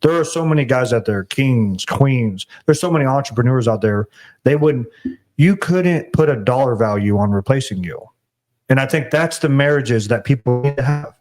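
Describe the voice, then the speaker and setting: A middle-aged man hosting a casual podcast, talking in an animated and preachy way with frequent restarts. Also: mid-range voice, normal speed. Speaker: podcast host. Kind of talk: casual conversation.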